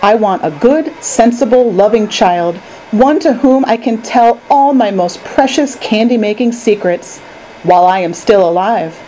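A woman voicing an eccentric, excitable male candy maker from a storybook, emphatic and commanding. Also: medium pitch, unhurried, slight background noise, close to an everyday voice, rough recording. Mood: earnest, confiding.

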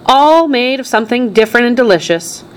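Eccentric male factory owner, played by a woman, storybook reading. Gushing, emphatic, showy, with big pitch swings. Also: animated, fairly quick, clean tone, natural voice. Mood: delighted, proud.

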